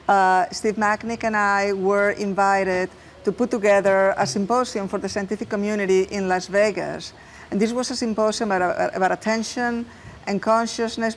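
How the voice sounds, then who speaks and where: A woman neuroscientist answering questions in a TV interview in English, measured and explanatory, with some fillers. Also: medium pitch, a little hiss or hum. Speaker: neuroscientist guest. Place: TV studio.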